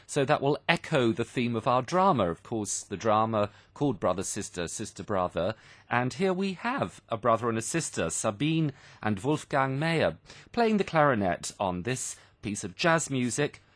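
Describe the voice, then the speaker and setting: Animated male radio English teacher. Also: mid-range voice, no room sound. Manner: didactic, explains phrases simply with examples and repetition. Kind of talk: explanatory English lesson for learners, radio presenting. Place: radio studio.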